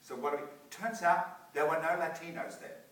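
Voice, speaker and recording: A man leading a post-show talkback in a theatre, animated and restarting his sentences often. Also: a little high, moderate pace. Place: theatre auditorium. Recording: echoey theatre, distant mic.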